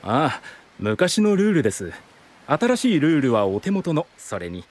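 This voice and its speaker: A man's voice: on the high side, huge pitch swings, normal speed. An actor plays an eager, polite, easily flustered townsman in an anime audio drama, with an earnest deferential manner.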